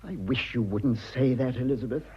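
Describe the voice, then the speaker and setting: A man's voice: medium pitch, fairly quick, breathy edge. An actor playing a pompous, prim upper-class British husband in his thirties, in a radio drawing-room comedy. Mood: prim, irritated. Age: 30s. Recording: dull lo-fi old radio recording.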